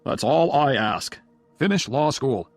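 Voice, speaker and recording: A man's voice: medium pitch, animated, a little slow, no room sound, voice pushed up. A man voicing a stern, controlling older father in an audiobook, speaking in a formal, admonishing way. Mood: firm. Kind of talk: audiobook character dialogue.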